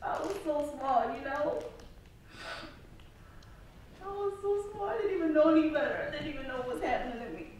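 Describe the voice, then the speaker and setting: A woman's voice: sits high, lively intonation, fairly quick, pauses often, a little mellow. A young actress on stage playing a wounded woman who defends her abusive boyfriend, recorded from far away. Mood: pained, haunted. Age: young adult. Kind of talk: stage play acting. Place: indoor theatre stage. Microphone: far camera. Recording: distant echoey stage recording, noisy.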